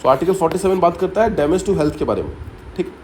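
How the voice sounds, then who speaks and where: A man's voice: sits high, lively intonation, racing, a little mellow, slight background noise. A man teaching exam students by telling a story, explaining step by step and often repeating key points with short English asides. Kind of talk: story-based mnemonic lesson.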